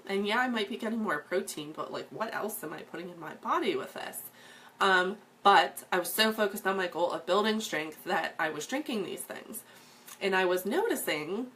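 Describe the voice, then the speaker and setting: A woman in her thirties with a slightly hoarse, froggy voice, telling viewers her personal story in a casual, earnest way with frequent ums. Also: medium pitch, a bit bright, slight background noise.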